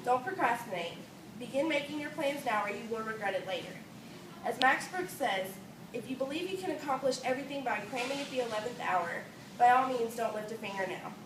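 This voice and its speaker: A woman with a fairly high voice gives a prepared, earnest speech, moving through her points in an orderly way. She is heard from a distance in a roomy space, with clear noise on the phone recording. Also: expressive, fairly quick.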